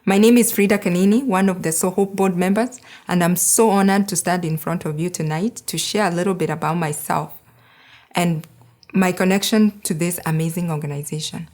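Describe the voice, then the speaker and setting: A woman's voice: fairly low pitch, fairly even intonation, a little fast, darkish tone. Earnest woman giving a speech. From Kenya. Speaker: board member giving a speech. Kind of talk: prepared gala speech.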